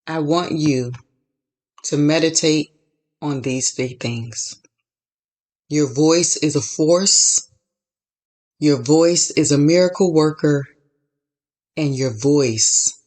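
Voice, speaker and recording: A woman hosting a podcast, giving an inspirational faith talk with a measured, repetitive preaching cadence. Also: deep voice. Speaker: podcast host.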